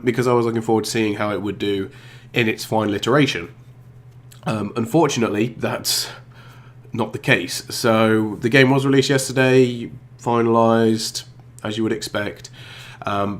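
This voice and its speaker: A man with a blocked nose from a cold, hosting a casual gaming vlog, rambling and hesitant with many ums and restarts. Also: fairly low pitch. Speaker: YouTube gaming channel host.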